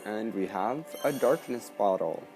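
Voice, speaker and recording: A man's voice: on the low side, expressive, unhurried, low-quality recording. A man giving casual let's play commentary over a video game. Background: faint game music.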